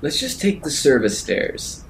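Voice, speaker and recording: A man's voice: mid-range voice, huge pitch swings, unhurried, vocal fry, slight background noise. A man talking casually through decisions as a player in a tabletop game.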